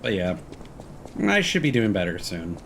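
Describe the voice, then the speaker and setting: A man's voice: deep voice, very animated, normal speed, vocal fry, a bit bright, slight background noise. A man livestreaming a video game, chatting informally with viewers in a laid-back, friendly way. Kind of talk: casual let's play commentary, chatting with viewers.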